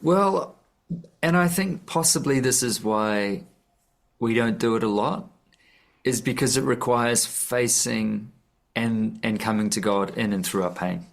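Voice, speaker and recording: A man's voice: mid-range voice, unhurried, creaky. A man, an author and musician, speaking earnestly in a radio interview, often restarting his sentences as he searches for words. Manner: earnest, searching, frequent restarts and self-corrections. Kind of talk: reflective conversational interview. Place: radio studio.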